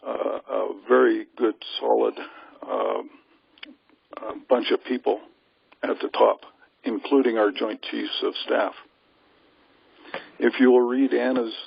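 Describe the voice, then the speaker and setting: A man with a very gravelly, husky voice speaks with assurance, as if briefing a group. He is emphatic and likes to pose rhetorical questions. The sound is a dry, lo-fi conference line. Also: on the low side, no room sound. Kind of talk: informal briefing monologue. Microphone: telephone conference call.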